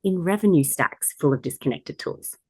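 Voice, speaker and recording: A woman's voice: low voice, normal speed, dark tone, slightly rough, no echo. A woman hosting a podcast, putting questions to a guest in a conversational way. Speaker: podcast host.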